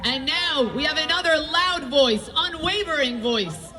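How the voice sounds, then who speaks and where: A woman's voice: sits high, lively intonation, unhurried, bright, forceful tone, in a large hall. A woman emceeing an outdoor rally, enthusiastically introducing each speaker.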